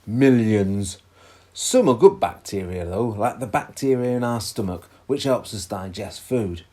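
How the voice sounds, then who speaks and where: A man playing a patient, reassuring father who explains things in a children's storybook, with a husky voice. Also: fairly low pitch, animated, a little slow, warm tone. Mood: patient, explaining. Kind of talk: read-aloud children's storybook dialogue.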